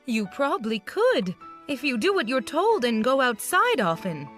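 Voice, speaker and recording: A man's voice: very high voice, sing-song, normal speed, a bit bright, faint music behind, pitched above the performer's own voice, exaggerated voice. A man voicing an anxious, breathless nurse in a storybook reading. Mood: worried.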